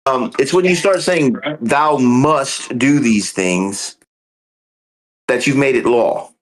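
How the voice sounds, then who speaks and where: Slow, earnest man explaining theology. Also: medium pitch, rough recording. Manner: earnest, explains step by step with many ums. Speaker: podcast host. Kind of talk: casual theological discussion.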